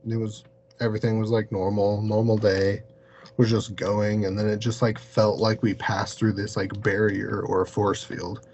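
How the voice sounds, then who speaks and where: Low-voiced man telling a story, muffled sound. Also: flat delivery, darkish tone, a little hiss or hum. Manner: halting, heavily peppered with "like". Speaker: podcast guest, paranormal investigator. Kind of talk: casual conversational storytelling.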